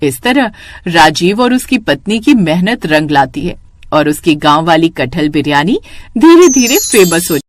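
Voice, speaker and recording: Animated female story narrator. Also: lowish voice, measured pace, a little hiss or hum. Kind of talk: scripted moral story narration.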